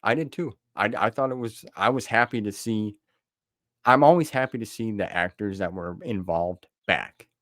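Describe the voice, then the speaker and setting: A man's voice: fairly low pitch, moderate pace, fairly bright tone, no echo, studio quality. A man hosting an interview podcast, chatting casually in an informal, easygoing way.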